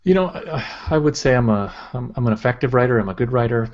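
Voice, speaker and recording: A man's voice: mid-range voice, fast talker. A middle-aged man, a school administrator, talking steadily in a casual interview with many fillers. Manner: steady, filler-heavy with many "you know"s. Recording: dull lo-fi sound.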